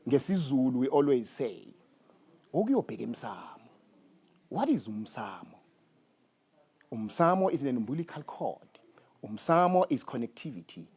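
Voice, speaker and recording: A man hosting a studio interview, speaking in slow, emphatic phrases and mixing in Zulu. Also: medium pitch, very animated, a little mellow, breathy edge. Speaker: talk show host.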